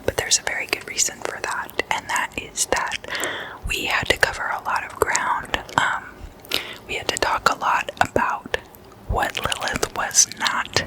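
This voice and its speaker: Casting: ASMR host, whispered voice, slow pace, chatty and intimate sleepover style. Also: poor audio. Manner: intimate, addressing the listener as a guest. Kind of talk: whispered ASMR, casual chatty slumber-party role-play.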